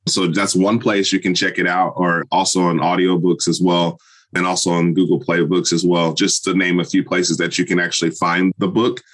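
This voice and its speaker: A man's voice: low-pitched, level tone, moderate pace, fairly bright tone. A man hosting a podcast, chatting with enthusiastic praise in a casual way. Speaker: podcast host.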